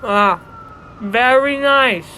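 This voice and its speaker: A man acting a dour, cynical construction worker on stage, terse, deadpan and reluctant. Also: extremely high pitch, extremely slow, exaggerated voice. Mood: flat. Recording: noisy outdoor recording with sirens.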